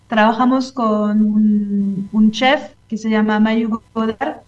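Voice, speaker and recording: A woman from France speaking Spanish with a French accent in a casual interview, often pausing to search for words. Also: mid-range voice, fairly even intonation, a little mellow, highs cut off. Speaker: interview guest, French cultural institute representative.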